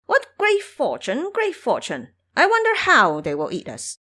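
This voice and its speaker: Woman putting on a cocky, high-swooping monkey trickster. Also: huge pitch swings, a little slow, pushed and bright, full character voice. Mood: cheeky. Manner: boastful, teasing. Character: brash, cocky male monkey-monk trickster, fearless and playful. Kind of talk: audio drama storytelling.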